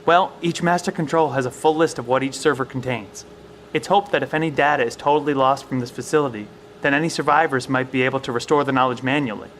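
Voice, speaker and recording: A man playing an earnest professor in a survival bunker, explaining things in a measured, careful way in an audio drama. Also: medium pitch, fairly bright tone, slight background noise, natural voice. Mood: explaining calmly. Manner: explanatory, hesitant, reasoning.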